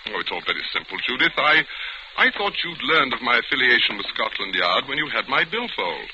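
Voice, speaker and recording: An actor playing a suave, self-assured detective in a 1940s radio drama, on an old noisy recording. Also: male voice, mid-range voice, big pitch swings, a little fast, pushed and bright, lo-fi sound. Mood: explaining.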